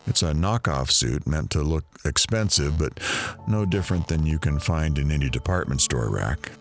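Audio drama investigator, male actor: bass-low voice, dry sarcasm, unhurried and matter-of-fact. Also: expressive. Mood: wry, matter-of-fact. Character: dry, sardonic male investigator searching rooms.

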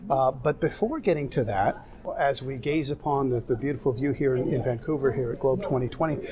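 A man hosting a podcast interview at a conference, asking questions in a halting way full of restarts and fillers. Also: mid-range voice. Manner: hesitant, many restarts and fillers. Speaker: podcast host. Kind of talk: conversational interview. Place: conference venue. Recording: busy crowd chatter behind.

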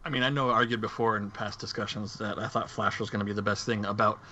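A man's voice: lowish voice, fairly even intonation, quick pace, pushed and bright. A man co-hosting a podcast and chatting casually about movies, on a lo-fi recording. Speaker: podcast co-host. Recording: muffled lo-fi track with background noise.

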